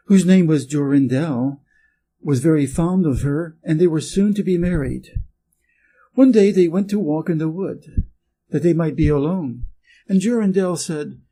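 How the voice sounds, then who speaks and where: A man from Wisconsin with an American accent, reading a fairy tale aloud slowly and clearly for English learners. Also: on the high side, dark tone. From Wisconsin, USA. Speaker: reader. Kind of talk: storybook reading.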